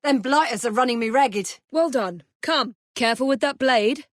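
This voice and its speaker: A woman voicing a confident young Victorian English assassin and gang leader in a video game, crisp, commanding and wryly polite. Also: high-pitched, normal speed, no echo, studio quality. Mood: dry, calm.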